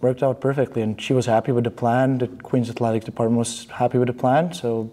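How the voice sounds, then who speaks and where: A young man from Bulgaria, a student athlete answering interview questions in a studio, relaxed and a bit halting, with fillers and restarts. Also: mid-range voice. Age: young adult. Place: studio in front of a banner.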